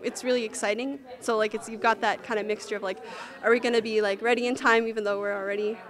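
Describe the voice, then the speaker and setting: A teenage girl with a slightly high voice, answering interview questions in a chatty, rambling way full of "like". She speaks into a handheld mic in a theatre rehearsal room. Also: flat delivery, fast. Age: teens. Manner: chatty, lots of "like", bright. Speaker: student actor.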